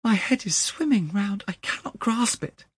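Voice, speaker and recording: A man doing a high-pitched, overwrought young gentleman. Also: animated, moderate pace, husky, dry recording, full character voice. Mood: bewildered. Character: horrified, shaken young gentleman, son of the dead man. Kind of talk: dramatic storybook character acting.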